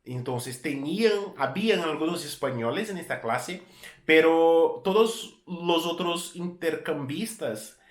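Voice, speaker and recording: A man's voice: a little high, takes it slowly, reverberant. A Brazilian man in his thirties, a native Portuguese speaker with a Brazilian accent, casually telling a story to camera as a travel vlogger and often correcting himself mid-sentence. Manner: relaxed, repeats and corrects words mid-sentence.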